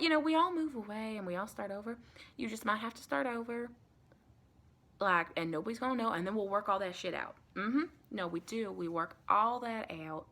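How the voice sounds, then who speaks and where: A woman playing a friendly, folksy female vampire in a soft-spoken ASMR roleplay, chatty and reassuring in a rambling, colloquial way. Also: medium pitch. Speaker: ASMR performer.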